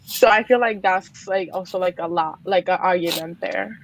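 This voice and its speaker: A woman's voice: fairly low pitch, normal speed, noisy background. A woman on a casual panel, talking hesitantly with many fillers.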